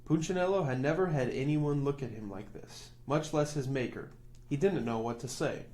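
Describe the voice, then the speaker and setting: A man narrating a children's storybook aloud as a storyteller, with expressive delivery. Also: mid-range voice, normal speed.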